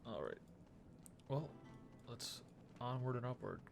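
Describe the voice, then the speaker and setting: A man's voice: medium pitch, sing-song, slow pace, vocal fry. A man doing casual let's play commentary as a streamer, thinking aloud in an offhand mutter. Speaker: video game streamer. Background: game music.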